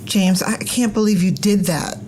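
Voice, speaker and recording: A woman's voice: mid-range voice, moderate pace, background noise, natural voice. A middle-aged woman playing a concerned, protective American mother in a naturalistic drama, emphatic and reproachful. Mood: upset.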